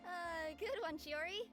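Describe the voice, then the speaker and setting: A woman's voice: extremely high pitch, exaggerated voice. A woman playing a cheerful, easygoing young woman courier in a video game, with an earnest, bubbly manner. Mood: flustered. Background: game music.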